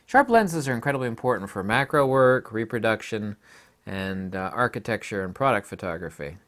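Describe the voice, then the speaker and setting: A man's voice: mid-range voice, a bit bright. A man giving an opinionated photography vlog monologue, speaking in an emphatic, blunt and scornful way. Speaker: photography YouTuber.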